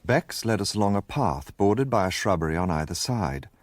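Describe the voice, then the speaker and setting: A man plays a detective's loyal, gentlemanly companion narrating a radio drama. He is earnest and expressive, in a lowish voice recorded close and dry. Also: brisk, dry recording, lightly acted.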